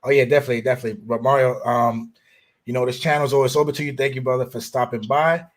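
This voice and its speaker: A man's voice: medium pitch, steady tone, quick pace. Laid-back male live stream host.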